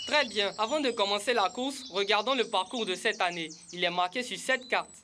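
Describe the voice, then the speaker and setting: Woman as a bright, showy cartoon race announcer. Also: medium pitch, moderate pace, faint music behind, close to an everyday voice. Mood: instructive. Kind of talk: educational cartoon dub acting.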